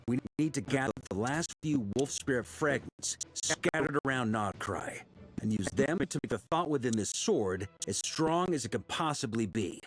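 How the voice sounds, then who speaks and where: Casting: male actor for a genial, commanding fantasy knight leader, highly animated mid-pitched voice, game music underneath. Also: close to an everyday voice. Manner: rallying, commanding, theatrical. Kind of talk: video game voice acting.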